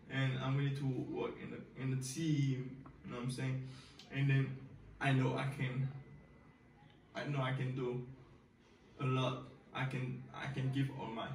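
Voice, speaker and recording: A man's voice: medium pitch, vocal fry, darkish tone, recorded from a distance, a little hiss or hum. A young man from Haiti with a Haitian Creole accent, speaking as a job applicant in a hesitant, self-recorded video with many restarts. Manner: hesitant, repeats words, often says "you know what I'm saying". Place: small bedroom with a desk and computer, indoors. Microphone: far camera, filmed from the side. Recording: echoey room, voice from afar.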